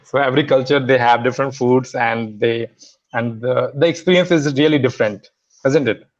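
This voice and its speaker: A man's voice: mid-range voice, moderate pace. Indian guy practicing english on a call, lots of uh and restarts, friendly, kinda muffled lo-fi audio. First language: Hindi. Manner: hesitant, many restarts and fillers, friendly. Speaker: English learner. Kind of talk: casual online conversation practice.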